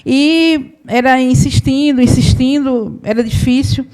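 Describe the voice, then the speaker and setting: A woman from gives a storytelling talk to a live audience. Her voice sits somewhat high. She keeps a chatty, conversational tone and often checks in with the crowd. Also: a little slow, darkish tone, smooth. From Paraíba, Brazil. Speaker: accountant and business owner giving a talk.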